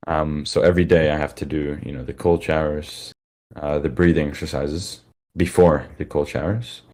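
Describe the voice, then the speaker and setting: Man, very low pitch, worn-out voice; loose, filler-heavy live lecturer. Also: steady tone, normal speed, a little mellow. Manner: casual, rambling, many fillers and restarts. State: tired, worn-out voice. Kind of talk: informal live lecture to listeners.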